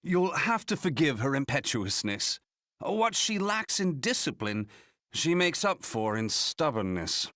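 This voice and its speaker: An actor playing a wry, gallant protector in a fantasy video game, with a courtly, dryly witty way of talking. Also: male voice, fairly high pitch, big pitch swings, unhurried, sharp tone, dry recording, studio quality, exaggerated voice. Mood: wry, concerned. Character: wry, gallant male protector of a young noblewoman. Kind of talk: scripted video game cutscene acting.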